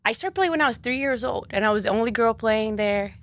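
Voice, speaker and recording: A woman's voice: fairly high pitch, lively intonation, quick pace, dry recording. Young woman, Brazilian accent, bubbly, laughing, chatty interview guest, dry close sound. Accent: Brazilian Portuguese. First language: Portuguese. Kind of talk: casual interview chat.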